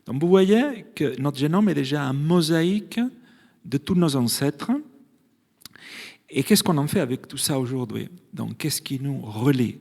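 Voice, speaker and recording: A man's voice: medium pitch, lively intonation, measured pace, creaky, darkish tone. A man who is not a native speaker of the language, a geneticist explaining his field in a public debate, stumbling and repeating words now and then. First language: not French. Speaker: geneticist panelist. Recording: reverberant hall sound.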